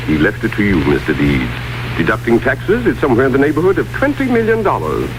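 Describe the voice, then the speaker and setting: An actor playing a flustered, hesitant messenger in 1930s radio comedy-drama, stammering and excitable. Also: male voice, medium pitch, fast, noisy background. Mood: flustered, insistent. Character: flustered, hesitant messenger bringing astonishing inheritance news.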